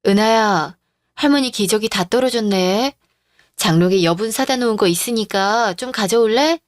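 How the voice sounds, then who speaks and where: A woman's voice: medium pitch, moderate pace, no echo, close to an everyday voice. A woman playing a devoted, patient middle-aged daughter-in-law and mother in a radio-drama style story. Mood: gentle, resolute.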